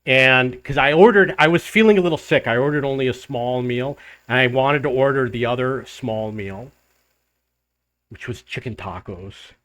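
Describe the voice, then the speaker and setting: Older man, loud voice, mid-pitched, casual rambling storyteller, dead dry sound. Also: moderate pace, no echo. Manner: rambling, restarts and loops back in the story. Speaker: vlogger living abroad.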